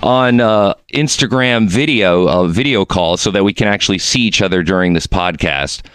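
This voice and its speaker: A man's voice: deep voice, normal speed, a bit bright, clear, resonant tone, no room sound, clean studio sound. A man from Mexico hosting a podcast, chatting casually and joking as he talks with his guest. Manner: chatty, jokey, rambling. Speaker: podcast host. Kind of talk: casual interview chat. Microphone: studio mic.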